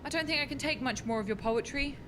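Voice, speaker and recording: A woman's voice: sits high, quick pace, background noise, natural voice. An actress playing a harried, exasperated young woman scientist in a comic radio play, flustered and dryly sarcastic. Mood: brisk, exasperated.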